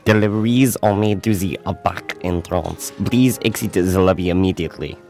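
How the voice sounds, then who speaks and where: An actor playing a snooty, indignant restaurant head waiter, curt and imperious, in a radio drama. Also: male voice, low voice, moderate pace, darkish tone, soft background music. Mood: outraged, dismissive.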